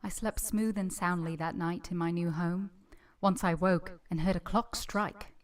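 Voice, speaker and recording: A woman playing an earnest, modest young governess in a vintage-style radio drama, measured, polite and literary in delivery. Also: on the low side, steady tone, slight background noise, close to an everyday voice. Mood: reflective. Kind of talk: first-person narration in vintage radio drama.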